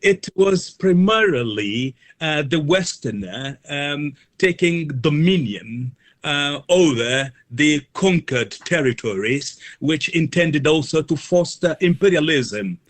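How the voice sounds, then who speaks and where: A man speaking as an interview guest, explaining things in formal, academic wording with halting delivery. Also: on the high side, lively intonation. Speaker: guest, reverend and mission organisation officer. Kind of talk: considered explanatory interview answers.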